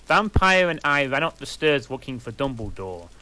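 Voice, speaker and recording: Guy reading fanfic out loud, deadpan and sarcastic, lively pitch. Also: moderate pace, low-quality recording. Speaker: reader.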